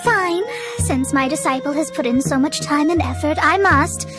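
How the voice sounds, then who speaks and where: A woman's voice: high-pitched, normal speed, music in the background, natural voice. A woman playing a proud, pompous young female astrologist in a video game, speaking in formal, theatrical phrases. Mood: proud, reluctant. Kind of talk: scripted video game acting.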